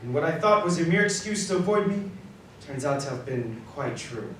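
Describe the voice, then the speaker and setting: An actor playing an earnest, indebted husband in a live radio drama, grave and measured. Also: male voice, fairly high pitch, lively intonation, fairly bright tone. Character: Caroline's husband, earnest indebted man, grave yet hopeful. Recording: echoey live stage, distant mic, faint noise.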